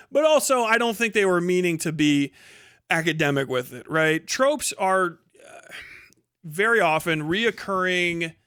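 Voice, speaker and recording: Guy livestreamer ranting casually, higher voice, really dry close sound, swears a lot. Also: animated, unhurried, pauses often, sharp tone, clear, resonant tone, no room sound. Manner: rambling, sweary, emphatic. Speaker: livestream host. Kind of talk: casual opinionated commentary.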